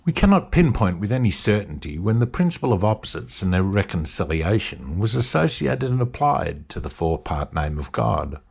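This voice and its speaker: Gravelly low-voiced man lecturing. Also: big pitch swings, darkish tone. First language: English. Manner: measured, lecturing delivery. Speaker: podcast host. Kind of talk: scripted narration.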